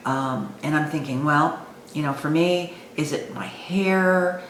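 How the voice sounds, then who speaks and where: A woman's voice: low-pitched, slow. A woman aged sixty-five talking informally and reflectively to her viewers, thinking aloud with some hesitation. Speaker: online video host. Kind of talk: reflective personal talk to camera. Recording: echoey room with faint background noise.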